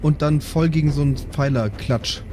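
A man's voice: on the high side, moderate pace, mellow. A man talking casually as a player working through his moves in a tabletop game.

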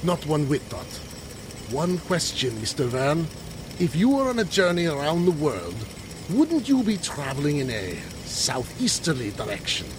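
A man's voice: on the high side, wildly swooping pitch, unhurried, background noise. A man playing a grandiose, confident time-travelling inventor with precise, formal, theatrical speech in an old-time radio adventure. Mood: suspicious.

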